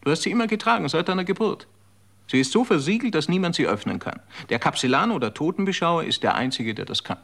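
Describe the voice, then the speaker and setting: A man's voice: fairly high pitch, sing-song, quick pace, creaky, a bit bright, heavily acted. An actor in a German radio play playing a worldly, somewhat condescending man who explains how his society works. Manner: lecturing, slightly condescending.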